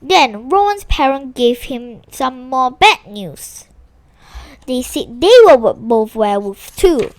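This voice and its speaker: A woman reading a children's story aloud with animated expression, now and then stumbling over a word. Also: high-pitched, slow pace, no room sound. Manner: animated, with small stumbles and restarts while reading.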